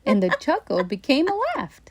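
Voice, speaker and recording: A woman reading a children's bedtime story aloud in an expressive narrating style. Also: a little high, normal speed, breathy edge, no echo, studio quality.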